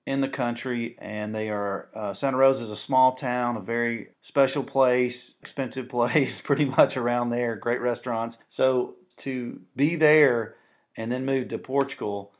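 A man with a Southern US accent hosts an interview in a relaxed, conversational way. He talks at an average pitch and often chuckles between his questions. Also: unhurried. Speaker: podcast host. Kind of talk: friendly interview hosting.

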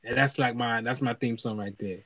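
A man co-hosting a casual chat show, telling lively anecdotes and acting out the other people's lines. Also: mid-range voice, brisk, no room sound. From Haiti (parents), raised in the US. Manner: animated storyteller, acts out voices in anecdotes. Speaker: podcast co-host.

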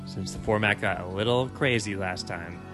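A man's voice: on the low side, normal speed. Teasing man host over playing music. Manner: teasing, steers the format. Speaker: podcast host running the bracket. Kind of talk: casual chat among friends.

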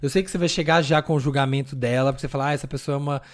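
A man, co-hosting a podcast in animated, chatty conversation full of fillers. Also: mid-range voice, racing. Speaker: podcast co-host. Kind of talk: casual chat.

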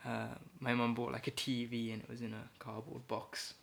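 Man, medium pitch, hesitant and reflective, quick to laugh. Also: little pitch movement, vocal fry, a bit bright. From Ecuador and England. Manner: thoughtful, hesitant, many fillers and restarts, laughs easily. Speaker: poet, interview guest. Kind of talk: casual reflective conversation.

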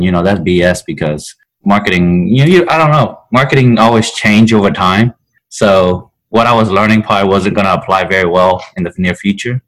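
Deep-voiced man telling his story. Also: rough recording. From Vietnam. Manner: relaxed storytelling with many fillers. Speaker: podcast guest. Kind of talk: casual chat.

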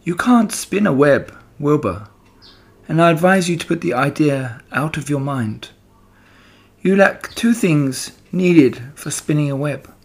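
A man voicing a gentle, patient, clever female spider who teaches calmly in a children's storybook. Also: a little high, a little slow, gravelly, voice pushed up, exaggerated voice. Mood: frank, consoling. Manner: calm, instructive, reassuring.

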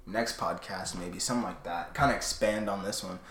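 A young man co-hosting a casual podcast, moving between a loud projected voice and quieter, lower talk. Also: fairly quick, roomy sound, slight background noise. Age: young adult. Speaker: podcast co-host. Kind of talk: casual chat.

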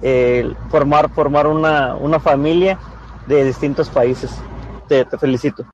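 A man hosting an interview conversationally, haltingly repeating words as he shapes his thoughts. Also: fairly high pitch, fairly even intonation, darkish tone. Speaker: interviewer, program host. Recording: dull lo-fi sound with background noise.

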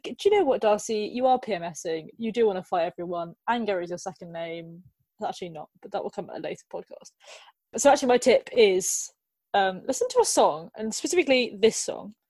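A woman's voice: fairly high pitch, darkish tone, no room sound. Chatty young woman, dry sound. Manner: chatty, jokey, self-mocking. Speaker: podcast co-host. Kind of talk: casual chat.

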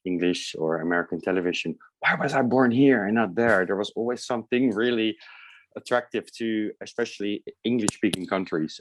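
A man's voice: sits low, poor audio. A man from the Netherlands, a podcast guest answering in a friendly, hesitant way with many fillers. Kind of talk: casual interview answers.

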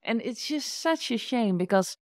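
A woman's voice: on the high side, expressive, moderate pace, no echo, studio quality. Dutch-accented woman podcast host. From Netherlands. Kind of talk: conversational podcast interview.

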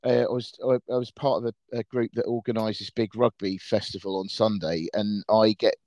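A man hosting a podcast, his voice a bit croaky from shouting, chatting casually with plenty of fillers. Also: on the low side, steady tone, fairly quick, no echo. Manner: chatty, hesitant with many fillers. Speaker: podcast host.

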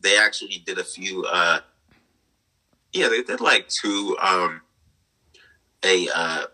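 A man in his twenties, a guest on an interview, talking earnestly about his life with many fillers and soft laughs over a remote call. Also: deep voice, expressive, takes it slowly, poor audio. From United States. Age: 20s. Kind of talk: conversational interview, personal story.

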